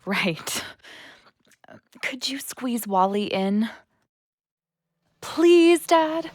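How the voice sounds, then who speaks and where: A kind, unsure young doctor's daughter in an audio drama. She speaks slowly in a slightly high voice, gentle and tentative. The recording is dry and close. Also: animated, no echo. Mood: hesitant. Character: hesitant, kind doctor's daughter helping at his clinic. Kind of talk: audio play acting.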